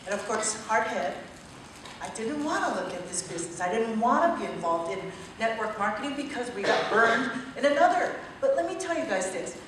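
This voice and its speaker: A woman from Maui giving an energetic testimonial speech to a crowd at a business event. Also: on the high side, fairly quick. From Maui, Hawaii. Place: large event room. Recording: echoey room from far off, light noise.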